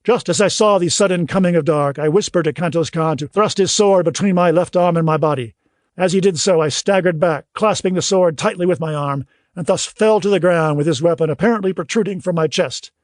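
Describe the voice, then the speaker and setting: A man reading scripted audiobook narration in a measured, literary storytelling manner. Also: a little high, dry recording, clean studio sound.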